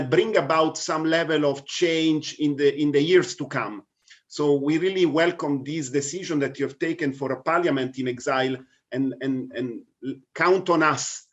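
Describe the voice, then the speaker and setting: A man moderating a parliamentary roundtable in measured, diplomatic language. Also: fairly high pitch, dull audio. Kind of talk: formal discussion moderation.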